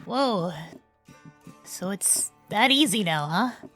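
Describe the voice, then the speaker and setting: A woman's voice: mid-range voice, lively intonation, takes it slowly, a bit bright, soft background music. A woman playing a young queen in a fantasy fandub, with an informal, blunt and questioning way of talking. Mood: curious. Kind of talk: fandub fantasy drama acting.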